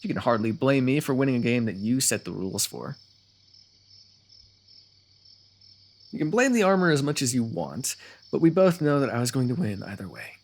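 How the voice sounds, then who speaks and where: A man's voice: mid-range voice, creaky. A man playing a confident, teasing royal rival turned ally in a fantasy roleplay audio, speaking in formal, wordy, playfully goading phrases.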